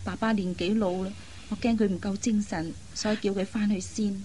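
A woman playing an anxious, caring elder sister in an expressive, earnest radio drama style. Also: medium pitch, brisk, lightly acted. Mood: gentle, earnest. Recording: faint background noise.

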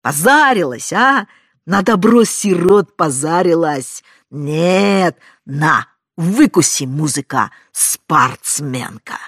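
A woman voicing a loud, spiteful middle-aged aunt who shrieks mocking accusations in a storybook reading. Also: low voice, expressive, slow pace, breathy edge, no echo, clean studio sound, exaggerated voice. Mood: triumphant.

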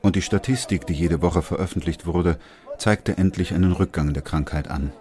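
An actor playing a composed, middle-aged doctor who narrates a plague chronicle in a radio drama, calm and sober. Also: male voice, low-pitched, normal speed, natural voice. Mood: sober narration.

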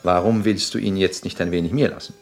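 A male actor playing a cynical upper-class lord in a radio play, with polished, measured, condescending delivery. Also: low voice, steady tone, faint music behind. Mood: coolly dismissive. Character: suave, cynical upper-class English lord, cool and self-assured. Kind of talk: 1960s German radio play acting.

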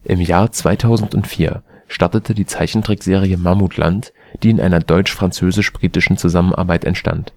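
A man with a standard German accent, reading an encyclopedia article aloud in a steady, careful way. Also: deep voice, moderate pace, few pauses. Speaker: volunteer reader of a spoken encyclopedia article. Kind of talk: scripted read-aloud narration.

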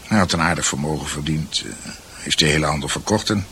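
An actor playing an older doctor in a radio drama, musing hesitantly and in a measured way over his family's history, with a gravelly voice. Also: extremely low pitch, fairly bright tone, a little hiss or hum. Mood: reflective, nostalgic. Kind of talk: Dutch radio drama acting.

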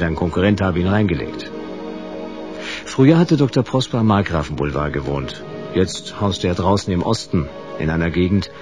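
Low-voiced, laconic hard-boiled detective. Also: male voice. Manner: laconic, dry, sardonic. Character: hard-boiled male private detective, wry and unruffled. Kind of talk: radio play dialogue and noir first-person narration. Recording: radio play with music underneath.

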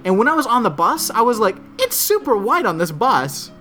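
A man with a bright, forceful voice talks in a casual podcast chat, ranting animatedly and swearing freely. He has a strong, stage-trained voice, and there is faint music underneath. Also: high voice, moderate pace. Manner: animated storytelling, frequent swearing and ums; trained projecting voice. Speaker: podcast co-host. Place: Orlando area.

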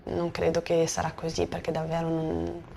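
A woman's voice: deep voice, monotone, normal speed, vocal fry, noisy background. A woman giving spontaneous, interview-style commentary, searching for her words as she goes.

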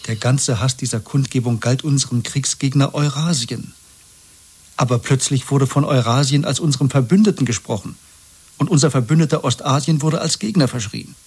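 Younger rebel, breathy husky tone, mid register, earnest and urgent, 1970s radio play. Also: male voice, medium pitch, normal speed, darkish tone. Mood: urgent, insistent. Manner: urgent, pressing, intense. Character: earnest, insistent younger man, secretly rebellious, weary.

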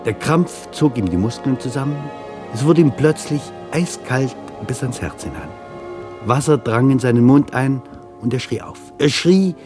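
A man reading a literary story as dramatic narration, in a deliberate and intense storytelling manner. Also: medium pitch. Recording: music behind the voice. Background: music.

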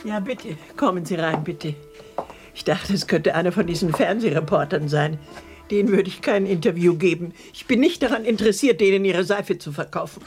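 A woman playing a courteous, habit-bound elderly retiree giving witness in a radio drama, hesitant and deliberate. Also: lowish voice, darkish tone, faint music behind, lightly acted. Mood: polite, hospitable. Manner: polite, hesitant, deliberate storytelling.

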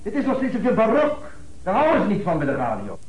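A fussy, stammering rehearsal coach in a radio play. His rather high voice swings about excitably, heard from far off in an echoey room on a noisy tape. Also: fast talker. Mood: agitated, correcting. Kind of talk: Dutch radio play acting.

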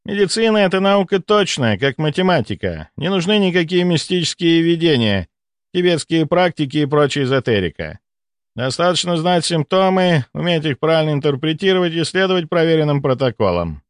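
A man's voice: fairly high pitch, very animated, fairly quick, smooth, no room sound, studio quality, voice pushed up. A man voicing a pompous, self-satisfied male doctor with a mocking, theatrical delivery in an audiobook. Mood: smug.

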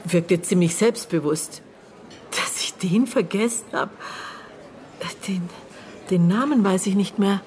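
An actress playing a middle-aged, bitter and guarded aunt in a naturalistic radio drama, speaking in terse, halting phrases as she searches her memory. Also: mid-range voice, a little mellow, natural voice. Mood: searching memory. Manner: terse, halting, broken phrases with thoughtful pauses.

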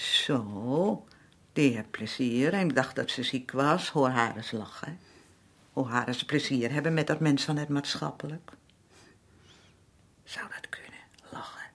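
An actress playing a weary, aggrieved working-class wife in a radio drama, middle-aged, with a colloquial, complaining way of talking. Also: female voice, a bass voice, unhurried, husky, full character voice. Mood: conspiratorial. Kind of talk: naturalistic radio drama acting.

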